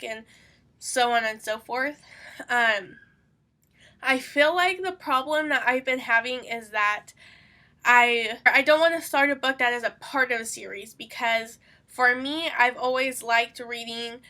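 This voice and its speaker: Young woman w a stuffy cold voice, bright and a bit high, rambly chatty booktube host. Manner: rambling, chatty, many fillers. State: congested, sniffling from a cold. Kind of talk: casual chat to camera.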